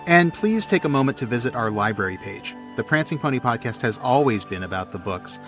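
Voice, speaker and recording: A man with a mid-pitched voice speaks as a podcast co-host. His delivery is casual, suited to banter and sign-offs. Faint music plays behind him. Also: sing-song, brisk.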